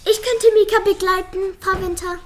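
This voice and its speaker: A woman's voice: very high-pitched, fairly even intonation, normal speed, a little hiss or hum. A woman playing a friendly, eager school-age classmate in a children's radio play. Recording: echoey, reverberant space, music underneath.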